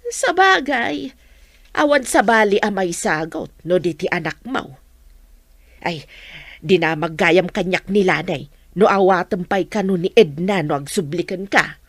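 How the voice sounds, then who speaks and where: A woman's voice: on the low side, animated, measured pace, no room sound. A woman playing an older, fretting mother in an Ilocano radio drama, exclaiming and persuading. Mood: gently nudging. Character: older mother, fretting and nudging her grown son.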